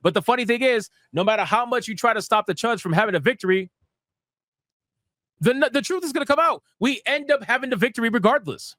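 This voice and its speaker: A man's voice: extremely high pitch, expressive, brisk, bright, forceful tone, no room sound, studio quality. A man giving casual, sweary, slangy commentary as a livestream host, emphatic and mocking, repeating phrases for effect. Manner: sarcastic, mocking. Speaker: host of a gaming news livestream.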